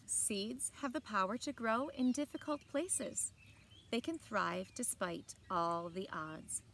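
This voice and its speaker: A woman in her thirties reading aloud to children in an expressive storytelling style, with short asides. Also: mid-range voice, slow, a little hiss or hum. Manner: expressive, clearly paced reading to young listeners. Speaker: library story time reader. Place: outdoors on a lawn beside evergreen trees. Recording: outdoor ambience with birdsong. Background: birds and outdoor ambience.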